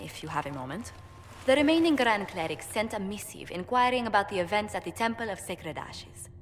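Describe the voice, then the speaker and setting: An actress playing a courteous young female ambassador in a video game, speaking in a formal, measured, diplomatic way. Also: mid-range voice, close to an everyday voice. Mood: courteous, concerned. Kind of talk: video game dialogue acting. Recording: noisy, long hall reverb.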